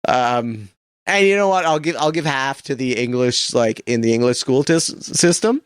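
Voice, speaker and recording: A man's voice: mid-range voice, animated, normal speed, clean tone, dry recording, studio quality. A man co-hosting a radio show in casual, humorous, wry and rambling banter.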